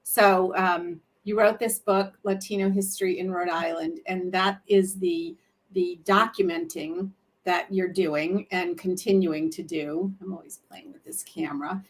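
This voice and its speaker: A woman's voice: on the low side, a little slow. A woman born in Colombia, hosting a casual interview in a chatty, easygoing way. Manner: chatty, laughs easily, conversational. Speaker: host.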